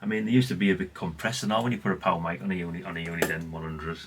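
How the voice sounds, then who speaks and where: A man's voice: sits low, fairly even intonation, rapid-fire, noisy background. A middle-aged man chatting casually and colloquially in a rambling vlog, often tagging questions onto what he says. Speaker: vlogger, radio collector.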